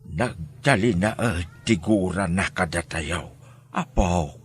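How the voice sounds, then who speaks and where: A man playing a gentle, protective old grandfather in an Ilocano radio drama, speaking tenderly and emotively. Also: low voice, slow pace. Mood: consoling, sorrowful.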